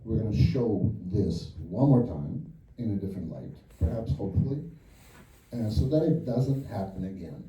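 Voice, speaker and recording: A man with a low, dark, mellow voice talks through his ideas in a loose, rambling way, full of fillers and false starts. He is picked up from a distance in a reverberant cinema hall. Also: lively intonation, normal speed, a little hiss or hum. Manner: rambling, thinking aloud with many fillers. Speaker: film director. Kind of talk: post-screening Q&A. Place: cinema auditorium. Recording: echoey theater from far off.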